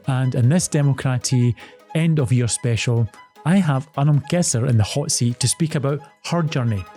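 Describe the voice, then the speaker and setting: A man's voice: medium pitch, darkish tone. A man, an actor and presenter, interviewing a guest on a podcast, encouraging her and often repeating himself as he goes. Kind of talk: podcast interview, scripted intro then casual chat.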